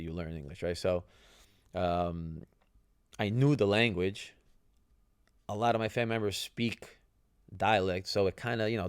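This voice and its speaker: A young man with Italian as his first language tells his own story in a loose, chatty way, tossing in "you know" and "right?" as he goes. His voice sits low with a gravelly edge. The sound is dry and close, with no room around him. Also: no room sound. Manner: conversational, many fillers and tag questions. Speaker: podcast host, former soccer player. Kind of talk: casual storytelling.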